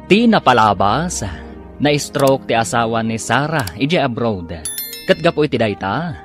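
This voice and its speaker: A man serves as the announcer of a radio drama series, reading scripted intros and recaps. His mid-pitched voice swings with lively intonation over a soft music bed. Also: unhurried.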